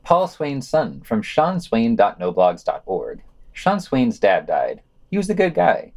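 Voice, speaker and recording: A man's voice: mid-range voice, huge pitch swings, measured pace, darkish tone. A man reading scripted news summaries and quotations for a podcast, adding casual, sardonic asides.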